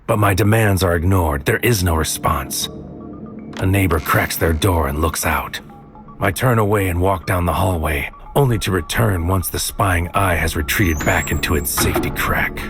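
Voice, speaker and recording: An actor playing a tormented male protagonist, narrating a sci-fi mystery drama in a grave, deliberate, poetic way. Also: deep voice. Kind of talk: first-person scripted audio drama narration. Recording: music behind the voice.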